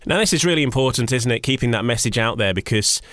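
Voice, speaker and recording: A man's voice: medium pitch, lively intonation, fast talker, a bit bright, dry recording, studio quality. A man hosting a conversational radio interview, wording things in a rambling way and repeating words as he goes.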